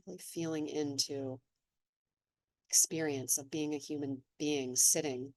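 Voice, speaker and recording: A woman slowly and gently leading a guided meditation, speaking in a soothing way. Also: low voice, fairly even intonation, no echo. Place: seated in an office chair.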